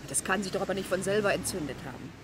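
An actress playing an alert, eager young assistant to an investigator, lively and inquisitive, in a 1960s German radio crime drama. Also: female voice, fairly low pitch, racing, lightly acted. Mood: curious, attentive. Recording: 1960s radio recording with faint noise.

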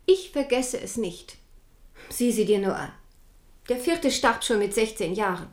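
A woman's voice: a little high, animated, measured pace. An actress in a German radio play playing a proud, devout Protestant queen and protective mother, fervent and stern. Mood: grimly determined.

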